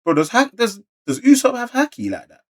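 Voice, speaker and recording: A man with a London British accent doing a casual, slangy manga read-along reaction, moving between animated exclamations and muttered asides. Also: very high-pitched, a little fast. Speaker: reaction video creator.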